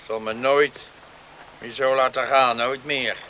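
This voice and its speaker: A stubborn, middle-aged island father in a radio drama. His mid-pitched voice is extremely forceful and hard-edged. He answers curtly and sternly, proud and unwilling to bend. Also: steady tone, slow, a little hiss or hum, poor audio. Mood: aggrieved, stubborn. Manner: curt, stern, dogged. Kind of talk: Dutch radio drama acting.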